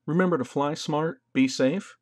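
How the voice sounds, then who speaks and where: A man's voice: a little high, normal speed, a little mellow, no echo, clean studio sound. Casual man explaining drone settings. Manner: casual, explanatory, loose phrasing. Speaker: drone tutorial host. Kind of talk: instructional voice-over.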